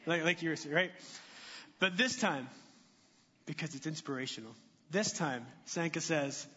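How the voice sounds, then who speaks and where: A man preaching in a church sanctuary, chatting and joking with the congregation between points. His voice sits high for a man. The sound is muffled, with a long reverb trailing off each phrase. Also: moderate pace, pushed and bright, breathy edge. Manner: conversational, jokey, asks the congregation questions. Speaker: pastor. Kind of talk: sermon.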